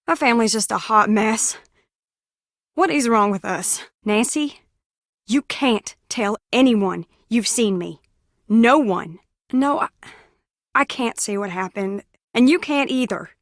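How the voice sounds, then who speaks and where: A troubled, guilt-ridden young woman in a video game. Her voice is high and anxious, earnest and defensive, recorded dry and close. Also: a bit bright, no room sound, heavily acted. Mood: flustered, weary, then defensive, pleading. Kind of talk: video game character acting.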